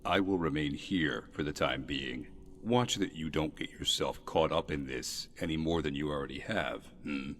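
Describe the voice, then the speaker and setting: Man acting a very deep-voiced, exasperated guard officer. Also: moderate pace, a bit bright. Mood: stern, irritated. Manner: weary, stern, sardonic. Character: gruff, exasperated male city guard officer. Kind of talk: video game character dialogue.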